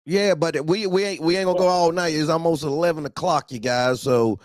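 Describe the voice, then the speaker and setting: A man's voice: a little high, a little fast, dry recording. Slangy American man hosting, close dry sound. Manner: slangy, colloquial, repeats phrases emphatically. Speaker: host of a trucker talk show. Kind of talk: casual, heated group chat.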